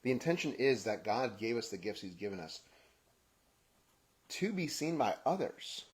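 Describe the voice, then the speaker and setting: A man raised in Kenya, speaking in a halting, reflective personal monologue that often restarts phrases and trails off softly at the ends. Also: medium pitch, very animated. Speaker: podcast host.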